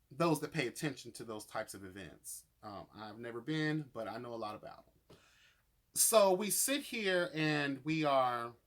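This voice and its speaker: A man's voice: medium pitch, fairly bright tone. A man giving casual, opinionated episode commentary as a vlogger, sassy and emphatic, with slangy wording and free swearing. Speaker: vlogger reviewing reality show episodes.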